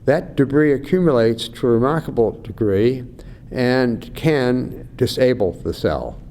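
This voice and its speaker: An older man, an eye researcher, explaining slowly and carefully in an interview, acting out doctors and patients as he goes. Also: darkish tone. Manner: measured, acts out doctor and patient. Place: conference venue. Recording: faint low rumble behind.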